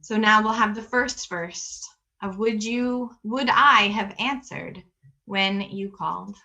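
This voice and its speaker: A woman's voice: medium pitch, slow pace, sharp tone, rough recording. A woman leading worship with a scripted, solemn and reflective liturgical address over a video call. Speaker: worship leader.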